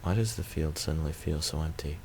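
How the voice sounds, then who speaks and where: Very deep-voiced man reading, gravelly. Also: a little fast, darkish tone, slight background noise. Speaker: let's player reading a visual novel. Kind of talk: reading narration aloud.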